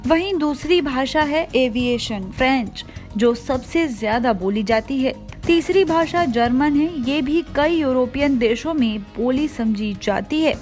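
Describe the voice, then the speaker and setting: Woman video host with a really high voice, scripted explainer read, faint music behind. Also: lively intonation, measured pace, darkish tone. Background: background music.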